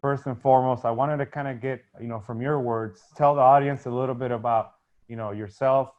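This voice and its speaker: A man opens and hosts an interview show in an upbeat way, speaking directly to his viewers. His voice sits at a middle pitch for a man. The recording sounds a little dull and muffled. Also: fairly even intonation, brisk, soft background music. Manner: upbeat, addresses the audience as guys. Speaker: show host.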